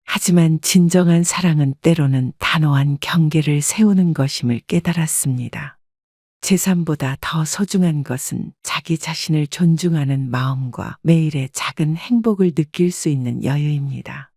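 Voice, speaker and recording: A woman in her sixties narrating her own story in the first person, calm and reflective. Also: deep voice, normal speed, no echo, clean studio sound.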